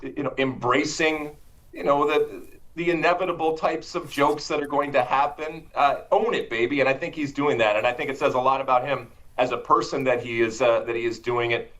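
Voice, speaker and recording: A man's voice: medium pitch, fairly even intonation, brisk, a bit bright, rough recording. A man speaking as a sports guest, enthusiastic and rambling with fillers. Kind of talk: interview conversation.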